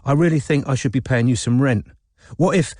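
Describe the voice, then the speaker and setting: A man voicing a tense, defensive London man with a flat, stiff manner in an audiobook. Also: mid-range voice, fairly quick, no echo, voice pushed up, natural voice. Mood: stiff, bitter. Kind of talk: audiobook character dialogue.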